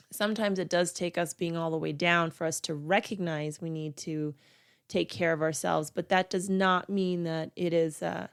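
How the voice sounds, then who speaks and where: A woman's voice: fairly low pitch, smooth. A middle-aged woman hosting her own solo podcast, sounding congested and nasal, earnest and repeating words for emphasis. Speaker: podcast host.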